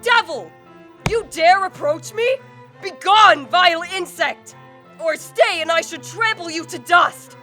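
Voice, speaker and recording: A woman's voice: extremely high pitch, unhurried, sharp tone, faint music behind, exaggerated voice. A woman acting a haughty, guilt-ridden male scientist in a radio play, with formal, declamatory literary speech. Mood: furious, contemptuous. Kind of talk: dramatic radio play acting with first-person narration.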